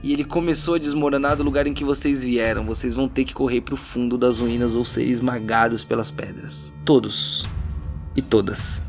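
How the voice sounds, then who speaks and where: Male game master narrating over soft music. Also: mid-range voice, fairly even intonation, moderate pace, vocal fry. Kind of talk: dramatic tabletop game narration. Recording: background music under the voice.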